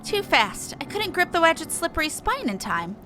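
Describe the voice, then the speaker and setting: A woman's voice: very high-pitched, sing-song, normal speed, over music. A woman voicing a boastful, gallant little male moogle adventurer from a video game, with a pompous manner. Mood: insistent. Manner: pompous, florid heroic speech. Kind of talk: reading game dialogue in character.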